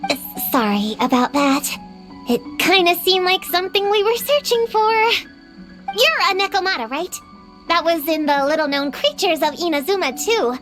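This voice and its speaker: A woman's voice: very high voice, expressive, moderate pace, music in the background. A woman voicing a determined, slightly grumpy little witch girl in a video game, self-assured and childlike, often muttering to herself. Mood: sheepish.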